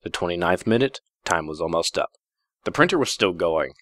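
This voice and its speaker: Low-voiced man reading fiction, measured. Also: very animated, fairly bright tone, no room sound, studio quality. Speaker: story narrator. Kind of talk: dramatic first-person fiction reading.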